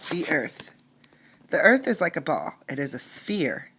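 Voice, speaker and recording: A woman reading a picture book aloud as a teacher, in an instructive way, pausing to explain and ask questions. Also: sits low, very animated, background noise.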